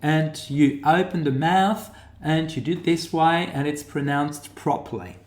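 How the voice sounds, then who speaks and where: A man with a New Zealand accent giving a tutorial lecture while sharing his screen, pausing often to find his words as he explains. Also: a little high, reverberant. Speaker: academic lecturer.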